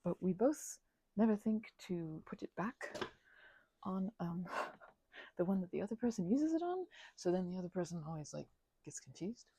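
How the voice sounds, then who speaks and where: A woman rambling casually as an ASMR host, mumbling in a low-key, musing way, her voice hushed and often slipping toward a whisper. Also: lively intonation, normal speed, breathy edge. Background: keyboard clicking.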